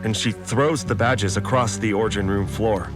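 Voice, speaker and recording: An actor playing an uneasy farmer, giving measured, subdued first-person narration in an audio drama. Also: male voice, fairly low pitch, fairly even intonation, music in the background, lightly acted. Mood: grim. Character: uneasy male farmer recounting events in a confined community.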